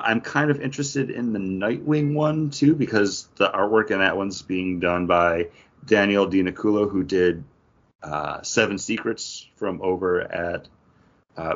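A man co-hosting a podcast in a casual, conversational discussion. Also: low voice. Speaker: comics podcast co-host. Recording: dull lo-fi audio.

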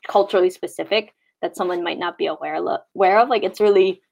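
A woman's voice: lowish voice, fast, creaky, darkish tone. A young woman in casual podcast chat, thoughtful and full of "like" fillers.

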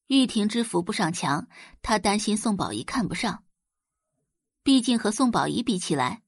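A woman's voice: mid-range voice, no echo, studio quality. A woman reading scripted audiobook narration as a storyteller.

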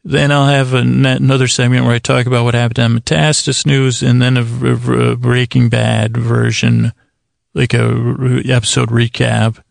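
A man with a creaky voice hosting a bedtime podcast in a meandering, halting, gently goofy monologue full of tangents and self-corrections. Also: mid-range voice, level tone, no room sound, studio quality. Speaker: podcast host.

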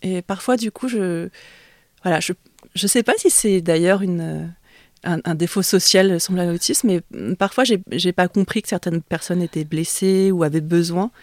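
A woman's voice: lowish voice, moderate pace, a little mellow, no room sound, studio quality. A middle-aged woman whose first language is Vietnamese, a writer being interviewed, speaking thoughtfully with frequent hesitations and restarts. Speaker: author guest. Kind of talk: conversational interview.

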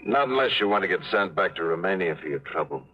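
A man's voice: low voice, animated, fast, soft background music. An actor playing a cynical male adventurer who also narrates, with a dry, sardonic delivery, in an old radio drama. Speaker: narrator and lead character. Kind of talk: 1950s radio drama acting. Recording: muffled old radio broadcast.

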